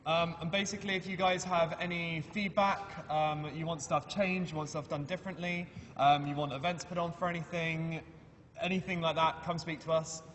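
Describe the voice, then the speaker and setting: A man's voice: a little high, flat delivery, normal speed, a bit bright, long reverb tail, a little hiss or hum. A young man, a student course rep, making a hesitant class announcement with many ums. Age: young adult. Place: lecture hall.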